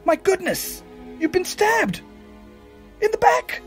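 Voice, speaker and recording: A man playing a flustered, earnest upper-class gentleman in a homemade comic radio play. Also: very high voice, very animated, slow, over music, full character voice. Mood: shocked. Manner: flustered, stiff-upper-lip exclamations.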